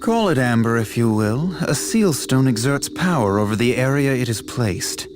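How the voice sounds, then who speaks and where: Fantasy game sage, played by a man: composed, formal, grave, mid-pitched, explanatory, with prominent music underneath. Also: lively intonation, normal speed, close to an everyday voice. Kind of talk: video game voice acting. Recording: music behind the voice.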